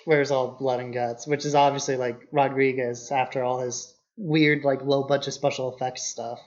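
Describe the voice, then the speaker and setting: A man's voice: medium pitch, little pitch movement, normal speed. A man co-hosting a podcast, explaining film matters in an informal, casual discussion. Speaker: podcast co-host. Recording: dull, muffled lo-fi podcast audio.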